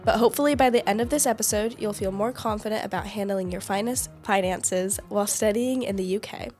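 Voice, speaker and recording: A woman's voice: medium pitch, a little fast, darkish tone, soft background music. Young woman hosting a podcast. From United States. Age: young adult. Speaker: podcast host.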